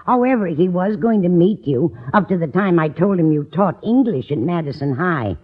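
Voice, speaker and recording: A woman's voice: on the low side, animated, normal speed, breathy edge. A coy, lovestruck older landlady from a 1950s radio comedy. Her delivery gushes and swoops with dramatic phrasing. The sound is thin and tinny, like an old broadcast. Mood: fond, earnest. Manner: gushing, endearing address, dramatic phrasing. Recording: tinny, narrow-band old radio recording.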